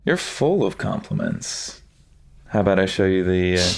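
An actor playing an evasive, smooth-talking man with secrets in a thriller audio drama, wry and placating. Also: low-pitched, animated, moderate pace. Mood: uneasy, placating.

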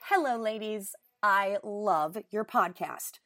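A woman's voice: medium pitch, slow pace, fairly bright tone, no echo, clean studio sound. A woman guest reader giving a dramatic reading of a listener's email.

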